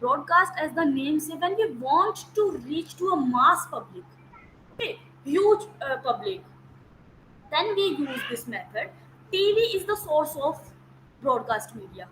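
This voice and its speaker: A woman's voice: high-pitched, big pitch swings, measured pace, fairly bright tone, a little hiss or hum, rough recording. A woman, a Hindi native speaker, teaching an online class, explaining step by step, repeating key terms and checking whether students follow. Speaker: academic counsellor. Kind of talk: online lecture. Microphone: video call.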